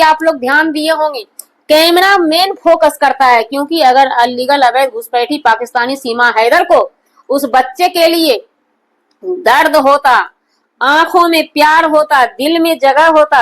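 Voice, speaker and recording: A woman's voice: high-pitched, a bit bright, low-quality recording. A woman gives opinionated news commentary in an emphatic, repetitive and accusatory manner. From India. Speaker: online commentary host.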